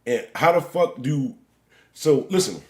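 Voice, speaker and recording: Man in his forties, lively swinging pitch, loose rambling stream chat, repeats himself. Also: measured pace. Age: 40s. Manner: "bro" and "gang" at line ends. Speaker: stream host. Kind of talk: casual live-stream commentary.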